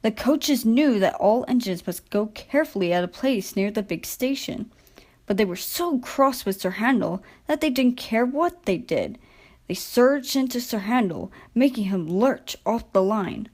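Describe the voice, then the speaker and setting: A woman narrating a children's story from a script in an expressive, deliberate storytelling manner. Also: a little high.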